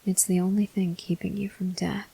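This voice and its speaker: A woman plays a tormented vampire soldier in an intimate ASMR roleplay. The voice is low and husky, often sinking into whispers, with a gravelly creak. Every phrase comes out grave and halting, stern and weary. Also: level tone, dark tone, exaggerated voice, rough recording. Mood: bleak, brooding. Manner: slow, halting, grave delivery. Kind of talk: ASMR roleplay, slow dramatic monologue.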